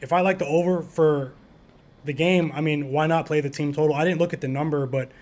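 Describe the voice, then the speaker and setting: A man's voice: on the high side, fairly even intonation, a little fast, a little mellow. Easygoing man hosting a betting podcast. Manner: easygoing, filler-heavy, reads chat and betting lines. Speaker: podcast host. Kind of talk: casual sports betting chat.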